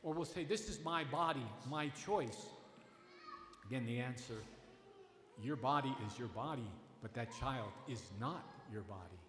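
A man's voice: mid-range voice, animated, measured pace, a bit bright. A middle-aged man, a priest, preaching a Sunday homily from a lectern in a church, earnest and emphatic, often restarting his phrases. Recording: echoey church with long reverb.

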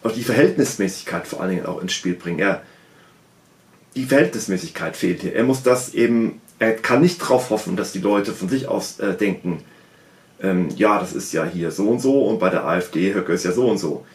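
A man's voice: sits low, fairly quick, roomy sound. A man in his thirties giving critical video commentary, emphatic and insistent, hammering home his points while seated in a small room at home. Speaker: political commentator.

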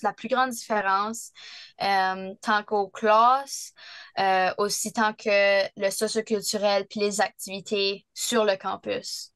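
A woman moderating a student discussion, asking questions in a measured way. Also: medium pitch, fairly bright tone, dry recording. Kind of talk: interview questions.

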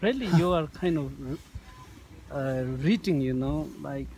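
A man who is a Buddhist monk, chatting slowly in halting English while riding a ski chairlift and searching for words. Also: fairly high pitch, animated, darkish tone, a little hiss or hum. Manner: slow, searching for words, with fillers. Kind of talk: casual chat in halting English. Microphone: phone held at arm's length.

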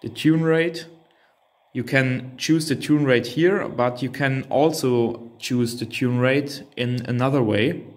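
Male hobby reviewer, native German speaker, unhurried and hesitant, talking through a device step by step. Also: mid-range voice, fairly even intonation. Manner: hesitant, thinking aloud. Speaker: reviewer demonstrating a radio transceiver. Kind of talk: unscripted hands-on product walkthrough.

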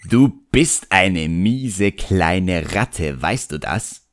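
A man's voice: sits low, lively intonation, extremely slow, full character voice. A man voicing a sardonic, cunning ancient djinn who grumbles and mocks, in an audiobook. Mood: grumpy. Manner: sarcastic, grumbling. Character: sardonic, ancient male djinn, cunning, grudgingly obedient servant.

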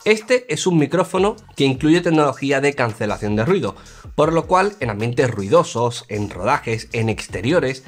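A man presents a product review in a clear, conversational, explanatory way. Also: mid-range voice, sing-song, moderate pace. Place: indoor studio, grey backdrop. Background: background music.

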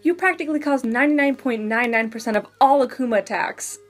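Woman as a sassy, higher-voiced teen girl. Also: fast talker, soft background music, close to an everyday voice. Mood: indignant. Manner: sassy, emphatic. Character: sassy, defiant teenage girl blogger. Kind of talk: comic dub cartoon acting.

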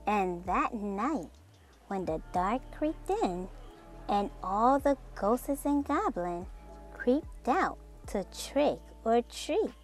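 A woman reading a children's book aloud in an expressive, dramatic storytelling manner. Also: fairly high pitch. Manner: expressive, with drawn-out dramatic words and sound effects. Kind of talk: animated read-aloud storytelling. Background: music.

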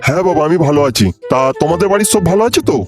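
A man's voice: a little high, lively intonation, very fast, over music, natural voice. A man voicing a sly middle-aged father in a comedy cartoon, coaxing and insistent with a false chumminess. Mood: coaxing, chummy. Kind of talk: Bengali comedy cartoon dubbing.

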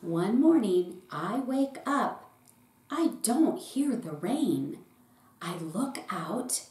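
A middle-aged woman, a pastor, reading a children's picture book aloud in short, deliberate phrases. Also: medium pitch, big pitch swings, a little mellow. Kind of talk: storybook read-aloud for children. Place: small indoor room with bookshelf. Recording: roomy echo with faint background noise.

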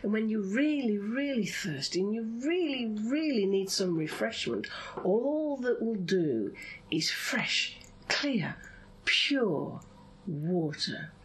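Woman for hypnosis session; lively rise and fall, unhurried breathy phrasing that sinks into whispers. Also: medium pitch, a little hiss or hum, poor audio. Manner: soothing, drawn-out phrasing, often drops into breathy whisper. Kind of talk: hypnosis session, guided visualization.

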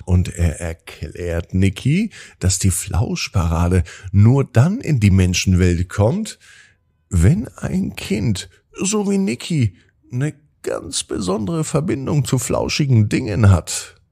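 A man's voice: medium pitch, very animated, slow, gravelly, mellow, breathy edge. A man narrating a children's bedtime story in a soothing manner, drawing out words for emphasis.

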